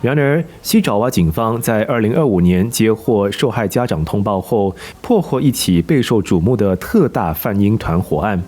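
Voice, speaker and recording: A man's voice: lowish voice, animated, moderate pace, few pauses, darkish tone. A man reading scripted narration for a news documentary. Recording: faint music bed underneath.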